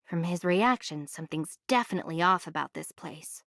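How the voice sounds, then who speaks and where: A woman's voice: fairly low pitch, expressive, a little fast, vocal fry, a bit bright, dry recording, studio quality. An actress playing a young, determined female adventurer in an anime game, inquisitive and unimpressed. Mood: inquisitive, suspicious. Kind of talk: English anime game voice acting.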